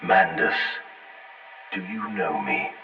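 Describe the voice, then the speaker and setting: A grave machine intelligence with a very low voice. It speaks in portentous, archaic phrases and coaxes like a sinister master. The sound is filtered like an old radio, distant and echoing, with music behind it. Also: male voice, animated, slow pace. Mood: urgent, commanding. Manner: portentous, formal. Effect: radio or telephone filter. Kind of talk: scripted game voice acting, archaic diction. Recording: tinny band-limited line, echoing, distant.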